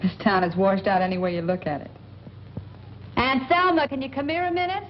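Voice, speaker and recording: A woman's voice: a little high, fairly even intonation, fairly quick, natural voice. An actress playing a cool, dry-witted young woman in a 1940s film noir. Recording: muffled old film soundtrack with hiss.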